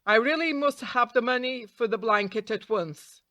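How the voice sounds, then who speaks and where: A shrewd travelling peddler in a fairytale , read by a woman, businesslike in every bargain. The man's lines are pitched a little up and sound dry. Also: moderate pace, sharp tone, no room sound. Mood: pressing.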